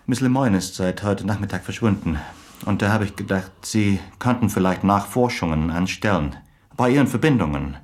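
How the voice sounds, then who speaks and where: An actor playing a brisk, cheeky newspaper reporter in a radio play. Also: male voice, fairly low pitch, close to an everyday voice. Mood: insistent.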